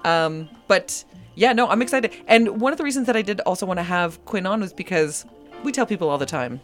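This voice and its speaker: A woman's voice: medium pitch, animated, fairly quick. A woman hosts a live community radio show from a small studio, talking into a studio mic. Her delivery is chatty and playful, wandering from one tangent to the next. Faint music sits underneath her voice. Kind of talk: casual live radio chat.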